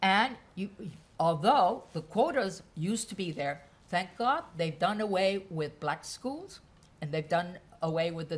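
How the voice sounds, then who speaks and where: Brief: female lecturer with a lowish voice, measured and methodical, stepping through her points one by one. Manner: measured, enumerating points in lists. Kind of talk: academic lecture.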